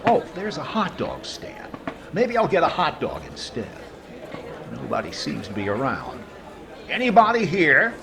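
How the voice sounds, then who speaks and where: A man playing a fussy, stingy, bemused comedian in an old-time radio comedy, with deadpan pauses. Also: on the high side, crowd noise, full character voice. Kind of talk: 1940s radio comedy acting. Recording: noisy, laughing audience behind.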